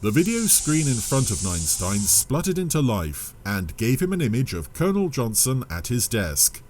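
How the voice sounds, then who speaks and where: A man's voice: medium pitch, big pitch swings, moderate pace, darkish tone, a little hiss or hum. A man reading scripted dramatic narration for a fan-made audio drama.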